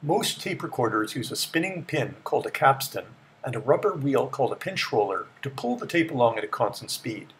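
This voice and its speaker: A middle-aged man giving a measured, matter-of-fact scripted explanation as the presenter of a technical tour. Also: medium pitch, very animated, fairly bright tone, slight background noise. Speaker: presenter of a vintage electronics tour.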